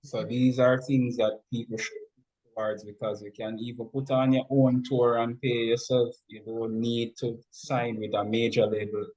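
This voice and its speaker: A man's voice: mid-range voice, little pitch movement, normal speed, a little mellow, poor audio. Man explaining things in an interview. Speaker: interview guest explaining music business. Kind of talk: informal explanatory interview talk.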